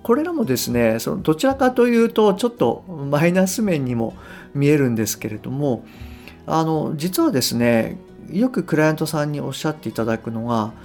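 Unhurried man podcasting over guitar music. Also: fairly high pitch, big pitch swings. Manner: unhurried, easygoing, hesitant phrasing with gentle emphasis. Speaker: podcast host and English pronunciation coach. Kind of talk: unscripted solo podcast talk, explaining to the listener.

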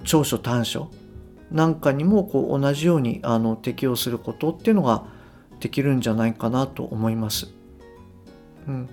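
Unhurried man podcasting over guitar music. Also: medium pitch. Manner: unhurried, easygoing, hesitant phrasing with gentle emphasis. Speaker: podcast host and English pronunciation coach. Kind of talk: unscripted solo podcast talk, explaining to the listener.

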